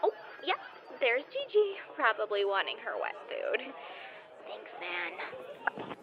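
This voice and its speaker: A woman's voice: high voice, huge pitch swings, slow pace, heavily acted. A woman acting as a dazed, laid-back young caller in an audio comedy drama, hesitant and slangy on a muffled phone line. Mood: dazed, uneasy. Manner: spacey, hesitant, slangy drawl. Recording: muffled phone call, background crowd chatter.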